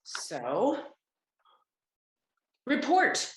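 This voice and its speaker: A woman's voice: on the high side, huge pitch swings, extremely slow, fairly bright tone, exaggerated voice. A woman acting imperious female boss, curt, condescending, menacing, echoey room, comedy screenplay read. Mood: cold, threatening. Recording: echoey room on video call.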